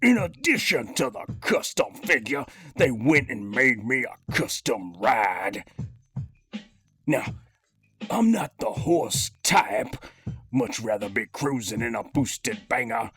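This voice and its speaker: A man puts on a cocky cartoon crime boss with a menacing streak. He delivers a scripted review in theatrical street slang, taking his time with an unhurried pace. Music plays faintly behind him. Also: mid-range voice, sing-song, fairly bright tone. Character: cocky, menacing cartoon master criminal toy, slangy gangster. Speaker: host of a toy figure review. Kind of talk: scripted in-character review with radio-drama flair.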